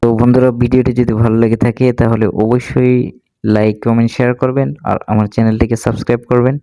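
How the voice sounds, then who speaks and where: Male tutorial host, very even intonation, soft dark tone, instructional step-by-step delivery. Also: mid-range voice, fast, clean tone, no room sound. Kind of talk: step-by-step screen tutorial narration.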